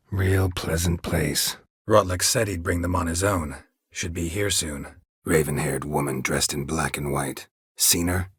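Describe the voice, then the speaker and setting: A man voicing a gruff, world-weary monster hunter in a video game, with a husky rasp and terse, deadpan delivery. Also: low-pitched, no echo, studio quality, heavily acted. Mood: dry, brooding. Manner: terse, deadpan, clipped phrases. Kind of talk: video game character dialogue.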